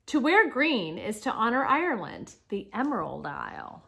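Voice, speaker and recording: A woman's voice: on the high side, very animated, moderate pace, gravelly, pushed and bright. A woman teacher reading a picture book aloud to children, explaining things and speaking to her young listeners directly with casual asides.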